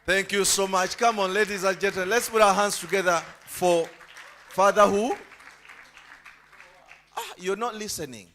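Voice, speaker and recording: A man's voice: sits high, moderate pace, pauses often, some chatter behind, a little hiss or hum. A man hosting a church gathering, rousing the audience to applaud. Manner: lively, rousing announcer. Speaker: host of the gathering.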